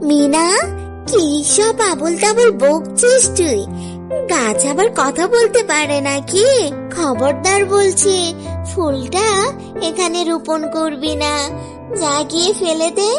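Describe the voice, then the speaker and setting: A suspicious, scolding mother fox from a children's cartoon, voiced by a woman. She is very high-pitched and measured, giving emphatic warnings over clearly audible music. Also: expressive, full character voice.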